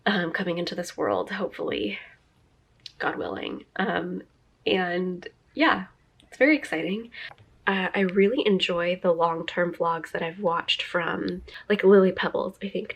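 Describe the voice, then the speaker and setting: A woman's voice: on the low side, breathy edge. A young woman vlogging to camera in a chatty, rambling way, a little stuffy from a cold and laughing often. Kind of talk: casual talking-to-camera vlog.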